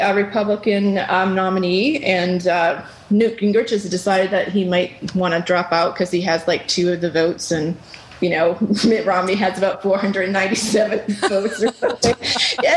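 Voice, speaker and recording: A talkative woman in her forties hosts a radio show, laughing easily and leaping up in pitch when she teases. Her voice sounds echoey, as if recorded in a roomy space, with a faint hiss of noise behind it. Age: 40s. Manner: chatty, laughs often, swoops up in pitch when joking. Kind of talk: casual chat.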